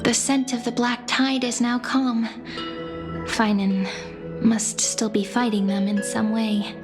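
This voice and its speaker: An actress playing a gentle, hopeful young heroine in a video game, speaking with earnest tenderness. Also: female voice, a little high, level tone, slow pace, over music. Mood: tender, hopeful. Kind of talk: dramatic game voice acting.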